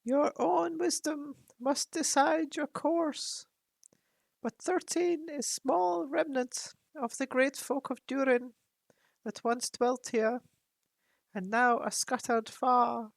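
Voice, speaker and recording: A woman voicing an ancient croaking raven chief. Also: high voice, steady tone, no room sound, pitched above the performer's own voice, exaggerated voice. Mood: solemn warning. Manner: solemn, measured counsel. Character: ancient huge male raven chief, grave and wise.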